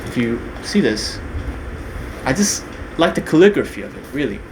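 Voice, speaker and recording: Young man from Korea, casual unscripted talk, lots of ums and restarts, even mid pitch, steady hum behind. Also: normal speed. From Korea, raised partly in New Zealand. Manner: hesitant, many fillers and restarts. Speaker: video creator.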